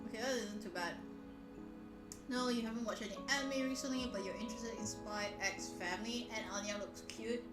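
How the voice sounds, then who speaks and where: A woman's voice: a little high, brisk. A woman hosting a casual live stream chat, talking to viewers in a playful, teasing, giggly manner. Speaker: livestream host. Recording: echoey room, music behind voice. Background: soft background music.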